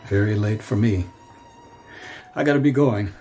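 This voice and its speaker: A male actor as a tired, wistful businessman in his thirties, speaking low in a radio play. He is a worn-down city executive with a bad leg, and music runs beneath the scene. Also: normal speed, warm tone, natural voice. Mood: resigned, wistful. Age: 30s. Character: weary, wistful 36-year-old New York ad executive with bad leg. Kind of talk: radio drama acting.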